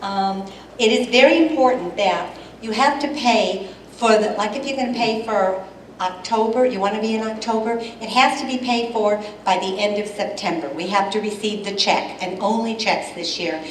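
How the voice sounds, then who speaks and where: A woman heading an event committee, loudly and chattily explaining rules at a club meeting. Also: medium pitch, normal speed, slight background noise. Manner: chatty, explains rules, loud without a microphone. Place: meeting hall. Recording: echoey hall, voice from far off.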